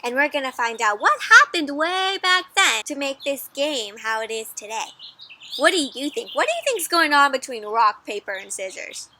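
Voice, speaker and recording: A woman giving an interactive storybook read-aloud for children, animated and encouraging, often asking her young listeners questions. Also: sits high, moderate pace, noisy background.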